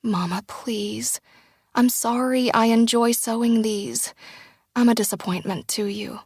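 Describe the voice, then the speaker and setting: A woman voicing an anxious young grown daughter who talks earnestly and apologetically, her pitch climbing. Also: fairly even intonation, a little slow, a little mellow, slightly rough, no echo, studio quality. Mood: apologetic. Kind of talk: audiobook character dialogue.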